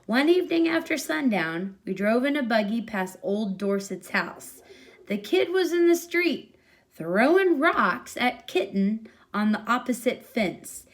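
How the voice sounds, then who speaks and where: A woman's voice: on the high side, sing-song, measured pace. Woman reading a short story expressively. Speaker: story reader.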